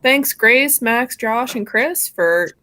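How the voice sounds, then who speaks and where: A woman's voice: fairly high pitch, expressive, takes it slowly, pushed and bright. A woman co-hosting a live stream, speaking informally in brief, slightly hesitant phrases. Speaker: stream co-host.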